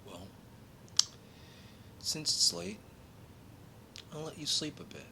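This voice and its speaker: A man doing a soft-spoken ASMR role play as a calm, reassuring recruiter of a secret order, speaking unhurriedly in short phrases. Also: slow pace. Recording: clearly noisy, low rumbling background.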